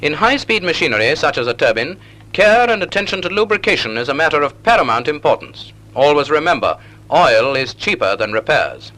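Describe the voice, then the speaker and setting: Male, 1940s instructional film narrator. Slightly high, crisp-edged bright tone, measured didactic delivery, vintage soundtrack. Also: sing-song, low-quality recording.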